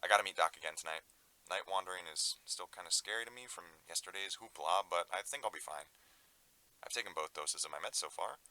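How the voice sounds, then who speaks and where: Radio drama: male actor as troubled, confused tape diarist, low-pitched, halting self-talk, very bright edge. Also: rough recording. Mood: relieved, casual. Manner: halting, muttering to himself. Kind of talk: audio drama monologue.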